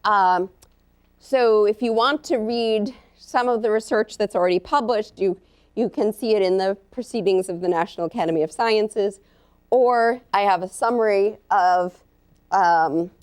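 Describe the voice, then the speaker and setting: Slow earnest woman lecturing a room, lots of ums. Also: medium pitch, clean tone. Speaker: professor giving a talk. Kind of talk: live lecture to an audience.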